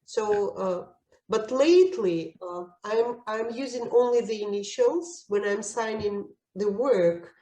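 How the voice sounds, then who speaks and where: Russian accent lady, mid pitch, talks slow and careful with lots of uh's, roomy echo. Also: warm tone. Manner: thoughtful, hesitant with frequent fillers and restarts. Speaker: painter interviewee. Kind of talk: casual interview answers.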